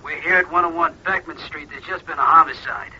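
An actor playing a dutiful police officer reporting a homicide in a 1940s radio drama, brisk and formal. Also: male voice, fairly high pitch, pushed and bright, husky, heavily acted. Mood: businesslike. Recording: dull old radio transcription.

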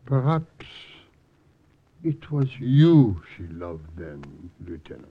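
An actor playing a gentle, regretful old Frenchman with a French accent, in old-time radio drama style. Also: mid-range voice, sing-song, slow pace, slightly rough, highs cut off, full character voice. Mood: hesitant, regretful. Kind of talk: 1940s-50s radio acting.

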